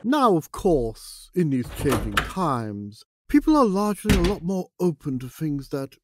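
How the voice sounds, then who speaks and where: A man's voice: fairly high pitch, very animated, measured pace, noisy background. An actor playing a flustered male politician who gives pompous, evasive answers and stumbles over his words. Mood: blustering.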